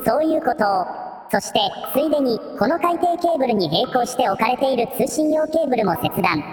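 A woman's voice: sits high, expressive, fairly quick, darkish tone. A woman voicing a dutiful technical helper who explains in a matter-of-fact way with clipped replies, in a radio drama. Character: dutiful tech-savvy helper Steve, shy before royalty. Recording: echoey hall reverb, music behind.

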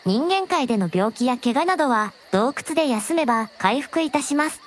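A woman playing a gentle, very polite dragon princess with a softly teasing manner in a scripted audio drama. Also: high-pitched, animated, moderate pace. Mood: gentle, earnest. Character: gentle polite dragon princess, a shrine maiden's alternate self.

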